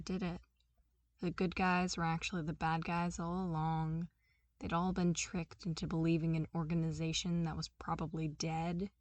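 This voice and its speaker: Lower-voiced woman reading fan fiction. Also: no room sound. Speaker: podfic reader.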